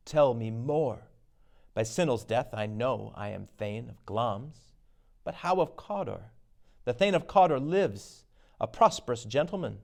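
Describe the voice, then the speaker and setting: Man playing a commanding, gravelly warrior thane. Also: medium pitch, darkish tone. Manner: declamatory, demanding. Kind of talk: dramatic Shakespeare reading.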